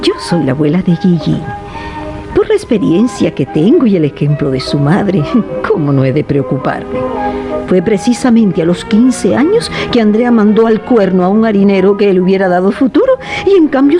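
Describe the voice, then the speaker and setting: A woman's voice: mid-range voice, big pitch swings, normal speed. An actress playing a worried, disapproving grandmother, in a theatrical, indignant radio drama style over music. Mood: worried indignation.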